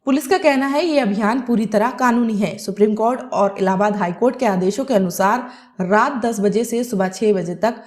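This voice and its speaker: A woman's voice: medium pitch, normal speed, a little mellow. A woman narrating a scripted news report as a news presenter.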